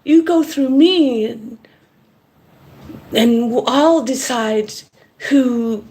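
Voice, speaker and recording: A woman acting out a bossy, jealous female shop worker in a shrill, indignant voice while retelling a story. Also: extremely slow, dark tone.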